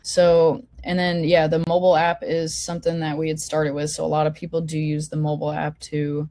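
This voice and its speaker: A woman with a low voice, hoarse from a lingering cold, talks attendees through a website over a video call. Her delivery is informal and full of fillers and restarts. The sound is dry and close, with no room echo. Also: monotone, a little fast, dark tone. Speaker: forum host. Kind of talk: casual online meeting walkthrough.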